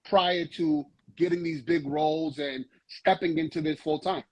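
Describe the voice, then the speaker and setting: A man's voice: fairly high pitch, steady tone, normal speed, husky, no echo, poor audio. A man hosting a casual interview, asking questions in a friendly, chatty way with slang. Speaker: interview host.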